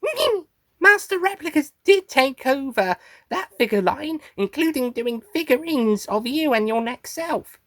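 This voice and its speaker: Squeaky lil alien critter, super high, cutesy but scheming, wheedly, swoops around, close dry sound. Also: female voice, sing-song, a little slow, no room sound. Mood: cheeky. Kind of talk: fan parody character voice recording.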